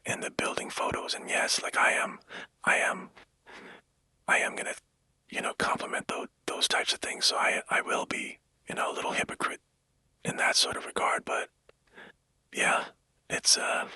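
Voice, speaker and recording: A man speaking in a breathy, unvoiced whisper, rambling casually and hesitantly in a soft ASMR-like monologue. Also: no echo.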